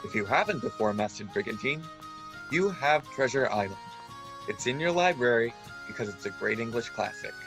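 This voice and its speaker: A man as a storyteller over clear music. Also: medium pitch, expressive, close to an everyday voice, rough recording. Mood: introductory, theatrical. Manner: measured, literary storytelling. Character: man recalling his boyhood adventure, earnest storyteller. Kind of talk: scripted dramatic narration for radio play.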